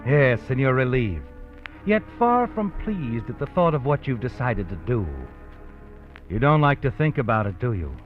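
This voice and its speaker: An actor voicing an ominous, all-knowing narrator who taunts the hero in second person, 1950s radio drama style. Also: male voice, medium pitch, big pitch swings, normal speed, lightly acted. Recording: tinny old radio with loud music behind.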